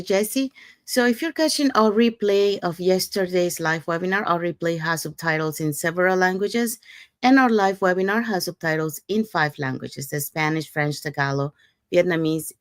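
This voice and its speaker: A woman's voice: on the low side, dry recording, studio quality. A woman from Panama co-hosting a promotional talk show, upbeat, often starting with "so". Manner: upbeat, with repeated "so" openers. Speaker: podcast co-host.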